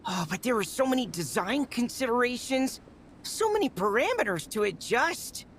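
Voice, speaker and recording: A woman's voice: a little high, very animated, moderate pace, slight background noise. A woman voicing a shy, earnest young inventor who frets and stammers. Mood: nervous. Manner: fretful, stammering. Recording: game audio with faint music.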